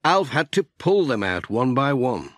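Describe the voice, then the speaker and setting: A man reads a children's story in a dry, studio-close recording. His pace is slow and his pitch moves up and down freely. The delivery is expressive, like a storyteller addressing young listeners. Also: no echo. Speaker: audiobook narrator. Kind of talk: scripted children's story narration.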